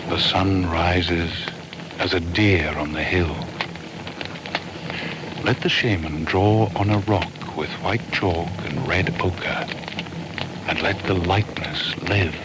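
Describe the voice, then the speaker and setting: An actor playing a solemn shaman hunter, speaking measured ritual verse for a radio drama. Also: low-pitched. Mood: solemn, reverent. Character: solemn male shaman hunter invoking animal spirits. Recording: clear background ambience noise.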